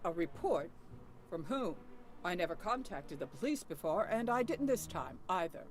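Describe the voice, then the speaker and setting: Proper older shopkeeper, very expressive pitch. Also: female voice, moderate pace, slight background noise. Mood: guarded, firm. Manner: courteous, formal, guarded. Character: unflappable, proper older widowed woman shopkeeper. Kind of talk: voiced adventure game dialogue.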